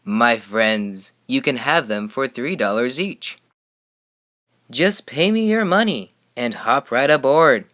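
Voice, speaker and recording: A man's voice: medium pitch, expressive, slow pace, fairly bright tone. A man voicing a slick, cheery travelling salesman in a storybook, with persuasive patter. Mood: sly coaxing. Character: slick, cheery travelling salesman creature selling fix-up machines. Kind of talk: storybook character voice.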